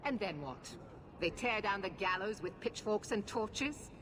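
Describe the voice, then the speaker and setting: An actress playing a stern, unyielding knight commander, with a commanding, severe and formal delivery in a fantasy game. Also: female voice, medium pitch, animated, unhurried, background noise, natural voice. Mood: firm, defiant. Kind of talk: dramatic fantasy video game acting.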